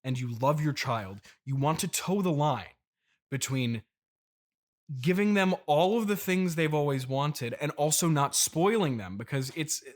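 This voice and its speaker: A man's voice: fairly high pitch, animated, unhurried. A man playing a patient, exasperated billionaire father hosting a comedy podcast interview, placating and hesitant. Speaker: podcast host.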